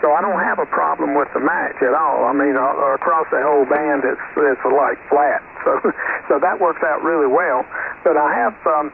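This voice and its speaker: A man with an American accent, an amateur radio operator, chatting about technical matters over the air in a friendly, hesitant way. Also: a little high. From North Carolina, United States. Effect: radio transmission. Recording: narrow tinny shortwave radio, clear static noise.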